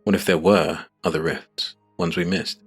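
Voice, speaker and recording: A man narrates a dramatic first-person story in a low voice. His delivery is scripted, grave and measured, with soft music playing underneath. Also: flat delivery, husky.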